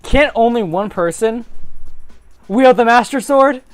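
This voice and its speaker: A woman's voice: fairly high pitch, unhurried, no room sound, soft background music, pitched above the performer's own voice, close to an everyday voice. A woman playing a stubborn, indignant young hero with emphatic delivery in an amateur dramatic reading. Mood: questioning.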